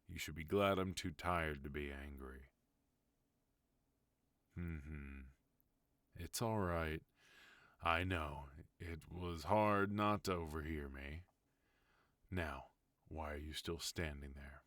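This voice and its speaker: A man playing a commanding mafia boss husband in an ASMR audio roleplay, speaking with cold, measured menace. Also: low-pitched, a bit bright, no room sound. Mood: weary, tender.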